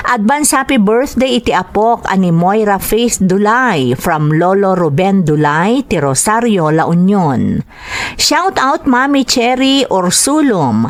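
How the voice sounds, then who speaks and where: A woman's voice: medium pitch, no echo. A woman with an Ilocano accent hosting a podcast, reading greetings and letters as a scripted narrator.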